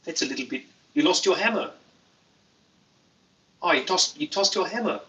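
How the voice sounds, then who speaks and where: A man hosting a casual livestream, reading viewer comments with a deadpan, playful manner and jokey asides. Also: a little high, normal speed, far from the mic, rough recording. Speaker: YouTuber livestream host.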